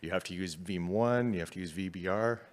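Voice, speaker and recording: A man's voice: sits low, fairly quick. A man in the audience asking direct, probing questions. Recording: long reverb of a conference hall.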